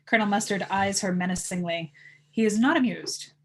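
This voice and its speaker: A woman's voice: medium pitch, fairly quick. A woman reading stage directions as narrator in a live table read of a script.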